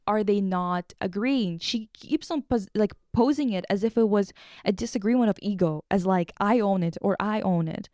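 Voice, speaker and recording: A woman's voice: mid-range voice, normal speed, dark tone, no room sound, clean studio sound. A woman hosting a podcast in casual chat, slangy, sweary and irreverent as she recaps and reads things aloud. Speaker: podcast host.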